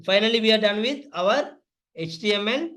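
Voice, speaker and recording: A man presenting a step-by-step software tutorial in an instructive way, sometimes spelling things out. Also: high voice, animated, normal speed. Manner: instructive, spells out words letter by letter.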